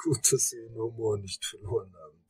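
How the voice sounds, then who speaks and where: An actor playing a wry, reluctant investigator in a radio crime drama, with a husky voice. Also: male voice, lowish voice, fairly even intonation, fairly quick, a little mellow, no room sound. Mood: polite, tentative.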